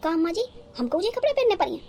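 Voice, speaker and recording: A woman's voice: extremely high pitch, sing-song, very fast, mellow, slight background noise, heavily acted. A woman playing a naive, dramatic, talkative young village bride in a cartoon, with a rural North Indian accent and a rambling, guileless manner. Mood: indignant. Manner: rambling, guileless, theatrically indignant. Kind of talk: cartoon story dialogue in rustic dialect.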